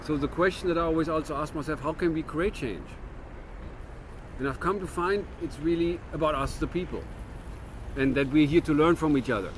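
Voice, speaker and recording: A man's voice: on the high side, a little fast, slight background noise, low-quality recording. A man in his fifties from Germany with a German-American accent, musing earnestly to camera in a reflective monologue with frequent restarts. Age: 50s. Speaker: vlogger.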